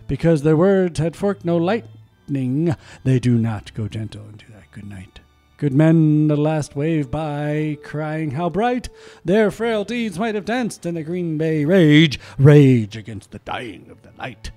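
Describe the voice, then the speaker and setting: A man hosting a community radio show, reading poetry theatrically, swelling loud and then dropping to a whisper. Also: on the high side, expressive, unhurried. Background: faint instrumental music.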